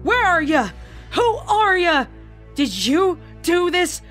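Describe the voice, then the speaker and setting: A woman's voice: very high-pitched, big pitch swings, slow pace, a bit bright, soft background music, voice pushed up, exaggerated voice. A woman voicing a frantic, grieving young female farm pony in a horror story reading, howling distraught demands. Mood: anguished, accusing.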